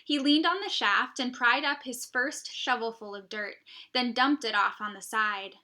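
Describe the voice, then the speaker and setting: A woman teacher reading a children's novel aloud, with expressive, emphatic phrasing and dramatic pauses. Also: a little high.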